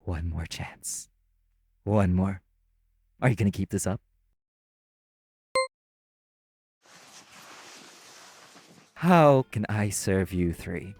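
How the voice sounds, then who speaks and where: An actor playing a primly formal, sarcastic, exasperated waiter in an audio roleplay. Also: male voice, sits low, expressive, a little slow, darkish tone. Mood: weary exasperation.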